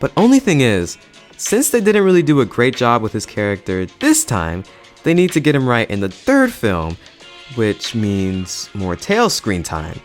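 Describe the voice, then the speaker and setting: A man's voice: medium pitch, huge pitch swings, measured pace, soft background music. A young man hosting a casual video review in an enthusiastic, slangy, emphatic way. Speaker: YouTube host and film reviewer.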